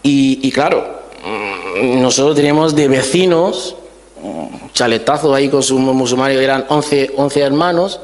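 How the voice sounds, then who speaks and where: Man, 40s, from Liberia, church guest; mid-pitched, hesitant and loose, heard with a long hall echo. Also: lively intonation. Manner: halting and informal, many restarts and fillers. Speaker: guest giving testimony. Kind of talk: interview.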